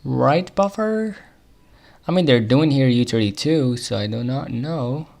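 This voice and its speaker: Guy with an arabic accent livestreaming code, kinda gravelly voice, mumbling through errors to himself. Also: medium pitch, animated, a little mellow. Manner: muttering to himself while debugging, quick chuckles. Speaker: programmer streaming live. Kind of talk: live coding stream, thinking aloud.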